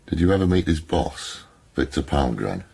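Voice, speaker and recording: A man's voice: rumbling low, very animated, normal speed, vocal fry, dark tone, slightly rough, exaggerated voice. A man acting a blunt, menacing, hard-bitten police detective in a radio crime drama. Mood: probing. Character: hard-bitten bullying male police detective, pressing an informant.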